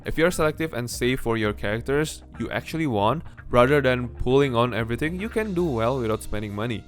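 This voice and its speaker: A man's voice: mid-range voice, expressive, fairly quick. A man narrating a video game review, mostly scripted, in a blunt, conversational manner. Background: background music.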